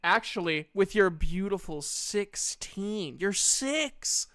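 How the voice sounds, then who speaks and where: Male game master, high and clear, playful and sweary, casual tabletop storytelling, close dry sound. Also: expressive, slow, sharp tone, dry recording.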